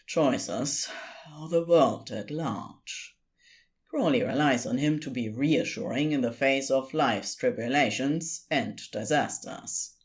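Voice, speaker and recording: A woman reading scripted story narration in an expressive storytelling style. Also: very deep voice.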